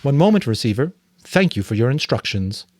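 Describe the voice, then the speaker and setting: A man's voice: mid-range voice, very animated, brisk, a little mellow, no echo. A man voicing a polite, efficient official attendant in a storybook, formal and obliging.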